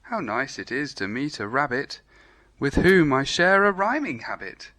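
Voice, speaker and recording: Man playing a friendly poetic sheep, bright voice. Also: mid-range voice, sing-song, unhurried. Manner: lilting rhymed recitation.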